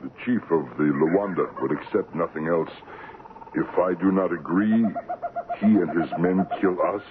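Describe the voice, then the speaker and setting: An actor in a radio drama playing a shrewd, unrepentant African trading post assistant who speaks in measured, terse, simple sentences. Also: male voice, sits low, natural voice. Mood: calm, dismissive. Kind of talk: old-time radio drama acting. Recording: muffled, noisy vintage radio broadcast.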